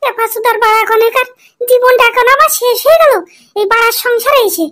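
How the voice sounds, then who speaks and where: A woman voicing a foul-mouthed, put-upon wife in a cartoon comedy, dramatic and shrill. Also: steady tone, a little fast, a bit bright. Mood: scornful defiance. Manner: scolding, wailing, dramatic.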